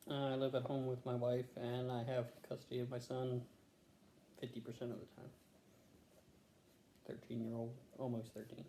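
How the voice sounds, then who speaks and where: A middle-aged woman with an extremely deep, mellow voice answers interview questions casually and briefly, with dry humor. She sounds recorded in an echoey room with some faint noise. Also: level tone, moderate pace, pauses often. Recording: roomy echo, faint background noise.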